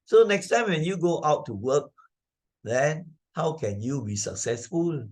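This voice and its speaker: A man's voice: on the high side, sing-song, measured pace. Older Malaysian man teaching a moral lesson. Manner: didactic, repetitive, with frequent tag questions. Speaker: Sunday school teacher narrating slides. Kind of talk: explanatory storytelling lesson for children.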